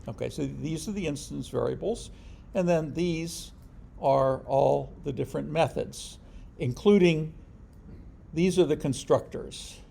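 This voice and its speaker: A man teaching a programming class in an informal lecture, halting and restarting often, with a dry sense of humor. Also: a little high, gravelly, warm tone, husky. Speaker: programming instructor.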